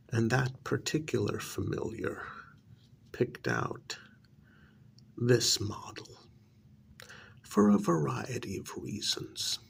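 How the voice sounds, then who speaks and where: A man playing a coldly vengeful, aristocratic vampire master in an ASMR role-play, half-whispering with deliberate, mock-polite menace. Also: medium pitch, full character voice. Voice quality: breathy half-whisper with gravelly creak.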